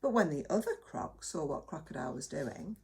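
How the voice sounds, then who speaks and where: A woman reading a children's story aloud as a nursery teacher, with dramatic emphasis and hushed moments. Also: low voice, animated, brisk, gravelly.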